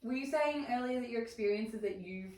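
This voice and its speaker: A woman's voice: on the high side, fairly quick, darkish tone. A woman leading a small first aid class as trainer, speaking in an affirming, encouraging way. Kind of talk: small group class instruction. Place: indoor room, small group class. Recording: echoey room, distant mic, faint noise.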